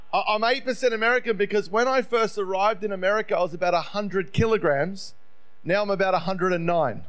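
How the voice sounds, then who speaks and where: Male preacher, Australian accent, middle-aged, high-pitched voice, conversational storytelling, self-deprecating. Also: fairly bright tone, clean tone. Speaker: visiting preacher. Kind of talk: sermon, personal testimony.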